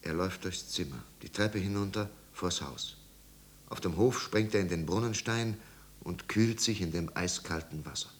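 A man narrating a bleak 1950s radio drama as a somber, measured storyteller. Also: deep voice, lightly acted. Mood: urgent, sober. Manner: hushed, grave, measured. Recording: old radio recording with hiss and hum.

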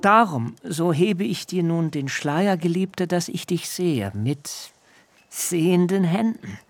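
A man's voice: high voice, animated, slow, no echo, heavily acted. An actor playing the biblical Jacob as a young man tenderly in love, speaking in a solemn, intimate way and at times dropping to a whisper. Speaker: radio drama actor. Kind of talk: literary radio play acting.

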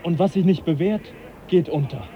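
An actor playing earnest young Darwin. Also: male voice, a little high, natural voice. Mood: persuasive. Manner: earnest, measured, persuasive. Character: young naturalist Charles Darwin, earnest, measured. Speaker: scientist lecturing. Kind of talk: 1980s German radio play acting. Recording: dull lo-fi radio recording, faint hiss.

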